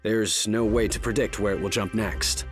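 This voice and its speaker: An actor playing a composed, principled young leader in a science fiction game, articulate and firm. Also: male voice, lowish voice, steady tone, normal speed, close to an everyday voice. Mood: intent briefing. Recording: voice over game music.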